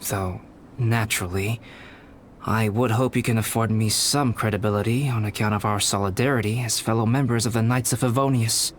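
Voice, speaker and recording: A man playing a calm, analytical young alchemist knight in a video game, speaking formally and precisely. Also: on the low side, slight background noise, close to an everyday voice. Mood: composed, resolute. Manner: measured, formal, precise, detached.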